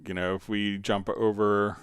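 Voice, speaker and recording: A man hosting a livestream tutorial, answering viewer questions in a casual, halting, rambling way. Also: lowish voice, flat delivery, clear, resonant tone. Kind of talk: unscripted software tutorial, casual explaining.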